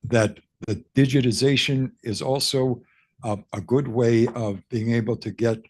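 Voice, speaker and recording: Husky man asking a rambling question. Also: medium pitch, fairly even intonation, measured pace, darkish tone. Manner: rambling, self-correcting. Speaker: audience questioner. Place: press club conference room.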